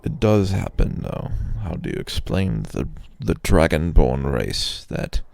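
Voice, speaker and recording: A man playing an arrogant, possessive male blue dragon in a slow roleplay ASMR monologue, with a gravelly, breathy growl and a haughty, rambling manner. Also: rumbling low, very animated, darkish tone, dry recording, heavily acted. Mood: proud, musing. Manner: haughty, rambling, with pauses and sighs.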